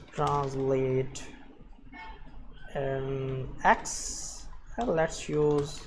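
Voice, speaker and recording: A man presenting an unscripted coding screencast, thinking aloud in a halting way as he works. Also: on the high side, slight background noise. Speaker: programming tutorial presenter.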